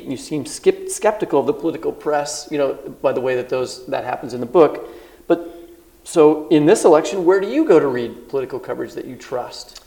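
A man co-hosting a live interview on a festival stage, his speech quick and rushing. There's a gravelly fry through his voice, and his questions come in a chatty, self-deprecating way. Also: on the high side, darkish tone. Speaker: podcast co-host. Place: live event at a book festival.

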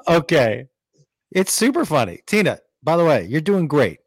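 A man talks on a video call in a bouncy, animated way, teasing himself. His voice sits a bit high for a man. The sound is dry and close, with no room around it. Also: moderate pace, slightly rough, no room sound. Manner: playful, self-deprecating. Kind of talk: casual video-call chat.

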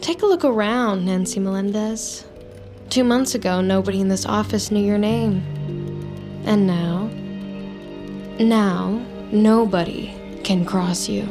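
A woman playing a scheming, sardonic office climber in an audio drama, with dry and deliberate delivery. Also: medium pitch, close to an everyday voice. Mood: smug, calculating. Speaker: protagonist narrating inner thoughts. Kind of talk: audio fiction acting with inner monologue. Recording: music under the voice.

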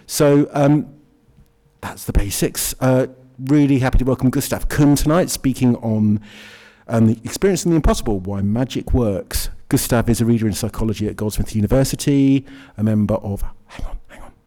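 A man hosting an evening talk who introduces the guest in an informal, halting way with joking asides. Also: mid-range voice, dark tone. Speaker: event host. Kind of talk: introducing a speaker.

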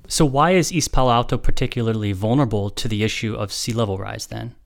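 A man's voice: fairly low pitch, fast, gravelly. A male radio host asking a reporter questions in a conversational way.

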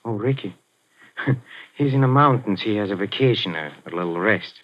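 An actor playing a nervous, sly middle-aged European impresario who stammers and hesitates, breaking into nervous little laughs, in 1940s radio acting. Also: male voice, fairly low pitch, expressive, normal speed. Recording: muffled 1940s radio broadcast.

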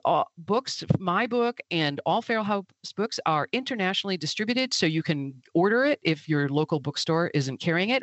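A woman's voice: on the low side, a bit bright, no echo, lo-fi sound. Dry-voiced Midwestern woman explaining. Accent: Midwestern American. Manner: knowledgeable, explaining at length in an easy, informal way. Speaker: author and publisher guest. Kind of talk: casual podcast interview.

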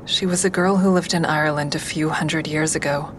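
A woman acting in an audio drama as a calm, wry crisis-call listener who narrates her own thoughts in a measured, coaxing, frank way. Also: low voice, noisy background, natural voice. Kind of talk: full-cast audio drama, first-person narration and dialogue.

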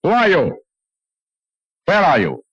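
A brilliant, brooding detective in a 1940s radio play. His delivery is clipped and theatrical, with a very lively pitch that turns commanding. The old recording is dry and close. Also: slow, husky, no room sound, muffled recording, full character voice. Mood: urgent, commanding.

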